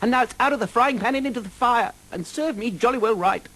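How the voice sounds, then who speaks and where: Man playing a high, blustering pompous English knight. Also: fast, a bit bright. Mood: miserable. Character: boastful, pompous, cowardly red-moustached knight.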